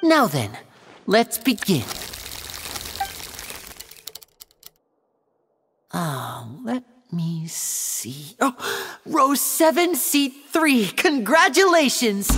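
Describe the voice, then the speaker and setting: A showy young male magician in a video game. The delivery is animated and theatrical, courteous and a little teasing, with faint music underneath. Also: on the high side, in a large hall. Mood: reassuring, courteous. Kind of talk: video game character acting, stage patter.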